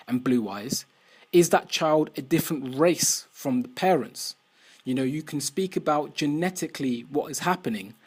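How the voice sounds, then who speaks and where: A young man speaking straight to camera, picking apart an argument point by point. His voice sits a little high for a man, and he leans on rhetorical questions. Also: breathy edge. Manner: reasoned, rhetorical questions, reads quotes aloud. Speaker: vlogger answering a critic. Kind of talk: casual argumentative commentary to camera.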